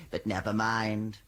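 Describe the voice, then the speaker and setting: An actor playing a coolly boastful, mocking assassin in a radio drama. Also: male voice, lowish voice, fairly bright tone. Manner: mocking, deliberate, chuckling. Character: gloating male assassin, taunting and coolly boastful.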